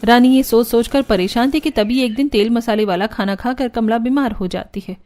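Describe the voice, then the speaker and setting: A woman with a very high voice narrates a cartoon family story. Her delivery is scripted storytelling, moving through the tale as its narrator. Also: moderate pace, darkish tone.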